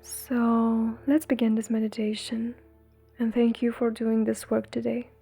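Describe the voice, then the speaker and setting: A woman leading a guided meditation in a soothing, breathy, gentle way, leaving long pauses between phrases. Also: fairly high pitch, flat delivery. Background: faint music.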